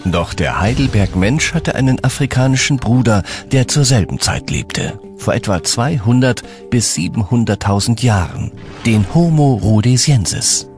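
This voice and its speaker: A man reading scripted documentary narration in a measured, serious way. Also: medium pitch, sing-song. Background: background music.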